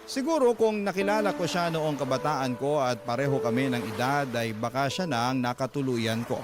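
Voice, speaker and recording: A man's voice: mid-range voice, normal speed. A man with a Filipino accent reading a letter as dramatic scripted narration, as host of a radio drama. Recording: loud music under the voice. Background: loud music bed.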